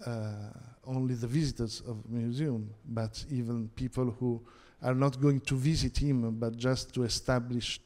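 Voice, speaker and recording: Italian-accented male panelist, hesitant delivery, slow pace, long hall reverb. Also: medium pitch, steady tone, a little mellow. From Italy. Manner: hesitant, many fillers and restarts. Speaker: conference panel speaker. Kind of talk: halting conference presentation. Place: theatre hall.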